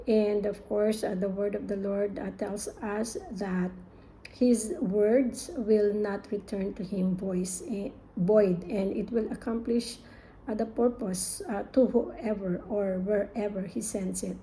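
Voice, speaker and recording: An older woman from the Philippines with a Filipino accent, speaking haltingly with many fillers as she opens a sermon in English, her second language. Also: mid-range voice, steady tone, dark tone, slight background noise, rough recording. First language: Filipino (Tagalog). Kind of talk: sermon introduction.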